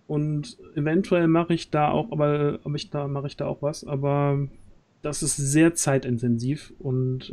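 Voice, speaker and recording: A man's voice: on the high side, warm tone. A man in his thirties hosting a casual livestream chat from a small room at home, speaking into a close microphone. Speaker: host of a role-play audio drama project stream. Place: small room at home, on a group video call. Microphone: close boom-arm studio mic, headset.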